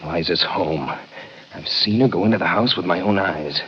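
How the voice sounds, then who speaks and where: An American actor playing a tense, possessive young estranged husband in an old-time radio crime drama, intense and insistent. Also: male voice, deep voice, normal speed, close to an everyday voice. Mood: brooding, tense. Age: young adult. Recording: dull, noisy vintage radio recording.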